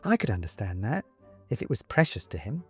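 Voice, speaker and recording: A man voicing a fussy little ghost mother in a storybook, prim, gently pointed and nagging. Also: fairly low pitch, sing-song, fairly quick, breathy edge, soft background music, exaggerated voice. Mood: prim, gentle.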